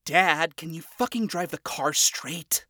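A man's voice: fairly high pitch, slow, pushed and bright, dry recording, studio quality, full character voice. A man doing a surly young hellhound woman. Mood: snapping. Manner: sullen, snappy.

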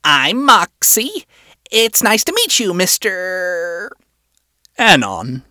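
A performer voicing a polite, nervous male imp with a scratchy, high voice and a halting, apologetic way of talking. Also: very animated, sharp tone, no room sound, studio quality, heavily acted.

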